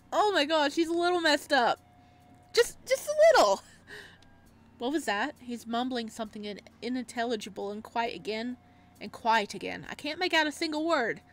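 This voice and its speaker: A woman playing a visual novel, reading its text aloud and reacting expressively as she goes. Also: fairly high pitch. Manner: expressive, animated reactions between read lines. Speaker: streamer, let's-player.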